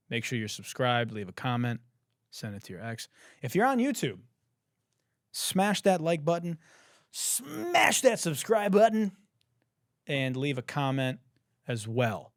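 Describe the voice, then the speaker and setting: Young male podcast host, incredulous comic ranter, slightly hoarse, very expressive, dead-dry sound. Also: mid-range voice, no echo. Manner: profane, laughing, incredulous ranting. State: hoarse, says he has lost his voice. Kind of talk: casual comedic commentary.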